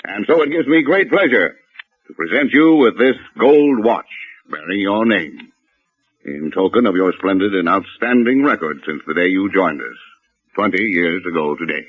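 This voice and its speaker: An actor playing a genial, pompous bank boss, speaking with formal ceremony in a 1940s radio drama. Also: male voice, low voice, lively intonation, normal speed, slightly rough. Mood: genial, ceremonious. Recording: muffled old radio recording.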